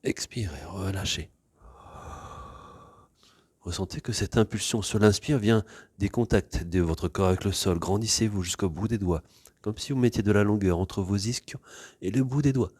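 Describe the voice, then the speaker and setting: A man teaches yoga in a low, slightly breathy and husky voice. He guides posture and breath gently and without hurry. The sound is close and dry. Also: dry recording. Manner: calm, unhurried, gently instructing. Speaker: yoga teacher leading a class.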